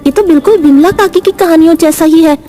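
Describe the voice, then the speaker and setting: A woman voicing a brave, kind young village boy in an animated storybook tale, earnest and exclaiming. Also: very high voice, fast talker. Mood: curious. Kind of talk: Hindi animated storybook acting.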